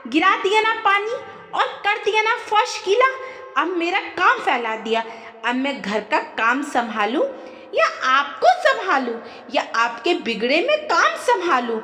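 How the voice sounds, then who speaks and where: Woman doing a naggy sarcastic daughter in law, high pitched, grumbling, echoey family audio story. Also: big pitch swings, measured pace, bright, forceful tone, faint music behind, heavily acted. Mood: angry scolding. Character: irritable, scolding, sharp-tongued daughter-in-law. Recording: echoey, long reverb.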